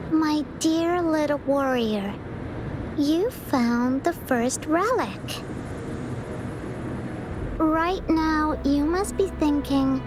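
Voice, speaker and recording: A woman voicing a timid, earnest young child in a video game, with a hesitant, childlike manner. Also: high-pitched. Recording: music behind the voice.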